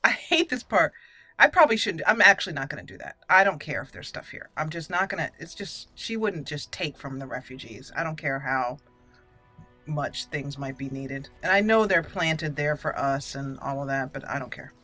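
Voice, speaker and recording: A woman's voice: low-pitched, expressive, moderate pace, pushed and bright. A woman hosting a roleplay video game playthrough, chatting with playful asides and reading dialogue choices aloud over game music. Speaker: gaming video host.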